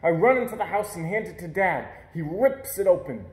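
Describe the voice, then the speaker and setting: A man reading a children's picture book aloud in an expressive storytelling style. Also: high voice, fairly quick, roomy sound.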